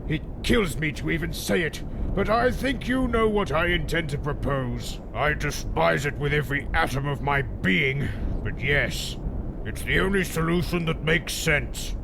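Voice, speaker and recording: A man playing a pompous, dim-witted, ancient tycoon in a comic dub, melodramatic and doddering with a creaky voice. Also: a little high, animated, a little slow, noisy background. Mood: grave melodrama. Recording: loud music behind the voice.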